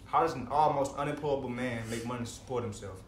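A man's voice: mid-range voice, fast, lightly acted. A man playing a stressed, skeptical best friend in an amateur comedy table read, slangy, profane and incredulous. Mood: exasperated. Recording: echoey room, faint noise.

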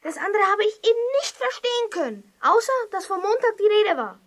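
A woman playing an eager, excitable child detective who talks urgently in a children's audio drama. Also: very high voice, fairly quick. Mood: earnest worry.

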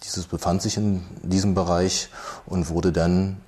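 Deep, dark-voiced police chief, a man. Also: little pitch movement, a little slow, slightly rough. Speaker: police homicide squad chief, interviewee. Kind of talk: formal, factual interview statement.

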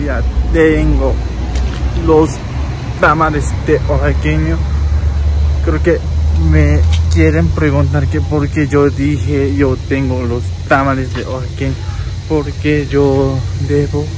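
A young man with a Japanese accent talks to his viewers in a slow, unhurried way, pitched a little high, phone at arm's length. Clear traffic and city bustle sit behind his voice, picked up through wireless earbuds. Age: young adult. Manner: chatty, repeats words, addresses friends. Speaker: vlogger. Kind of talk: casual vlog chat. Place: city street outdoors, then indoors at a table. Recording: street noise behind.

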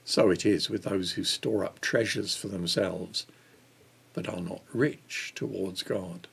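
A man in his sixties reading a devotional book aloud in a measured way. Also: deep voice, gravelly, husky. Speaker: reader of a church Lent devotional.

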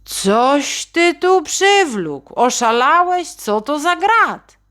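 A shocked, scolding storybook father, as a woman reader plays him. He exclaims with exasperation, stretching out his words. The intonation is highly animated and the pace slow. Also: full character voice.

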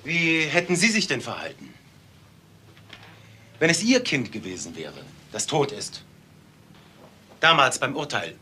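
An actor playing a challenging friend questioned by police in a German crime radio drama. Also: male voice, medium pitch, wildly swooping pitch, a little slow, long pauses, pushed and bright, a little hiss or hum, heavily acted.

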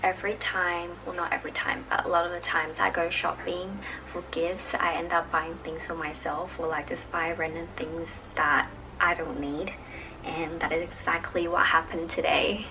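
A woman's voice: on the low side, level tone, moderate pace. A woman, chatting casually to camera as a vlogger at home. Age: 20s. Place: indoors at home, living room or bedroom. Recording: noticeable background noise.

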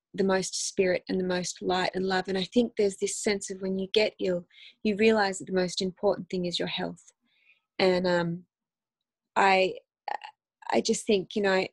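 A woman's voice: mid-range voice, husky, dry recording. Aussie woman, 30s, chatting on a phone video call, lots of ums, dry close sound. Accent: Australian. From Australia. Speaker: guest actress. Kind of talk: casual video-call interview. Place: home room, indoors.

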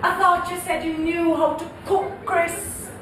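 A woman's voice: extremely high pitch, flat delivery, a little slow, fairly bright tone, slight background noise. A woman in her thirties playing a stern, suspicious middle-class Jamaican lady of the house on stage, precise and dry. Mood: dry, skeptical. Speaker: stage actor. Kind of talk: theatrical stage acting. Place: indoor theatre stage. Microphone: distant stage pickup. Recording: echoey theatre from far off, music behind.

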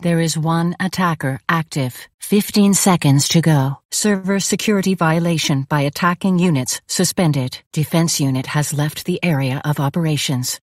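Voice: mid-range voice, normal speed, few pauses, no echo, studio quality, lightly acted. A performer voicing a cold, impassive artificial intelligence announcer in a video game, with clipped, formal, emotionless delivery. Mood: impassive, clinical.

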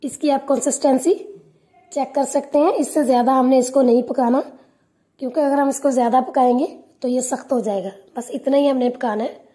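A woman hosting a cooking channel, calmly giving step-by-step instructions in a tutorial voice-over. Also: high voice, a little fast.

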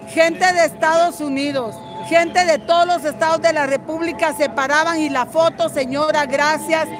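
An older woman from Guatemala speaking as a political activist in an impassioned, combative and salty-tongued street interview. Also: high-pitched. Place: busy city street outdoors. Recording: outdoor street crowd noise, music behind.

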